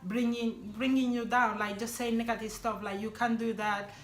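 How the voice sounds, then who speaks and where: Young woman host, non-native English, casual chatter, higher voice, echoey room. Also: level tone, normal speed. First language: not English. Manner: chatty, frequent "like" and "actually", laughs often. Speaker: vlog host. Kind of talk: casual chat.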